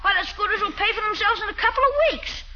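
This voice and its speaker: Eager cheeky kid nephew, super high piercing voice, slangy, muffled old radio. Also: quick pace, bright, forceful tone, exaggerated voice. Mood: eager, wheedling. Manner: wheedling, breezy, slangy. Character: eager, wheedling, cheeky young nephew, a boy. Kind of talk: 1940s radio comedy acting. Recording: muffled 1940s radio recording.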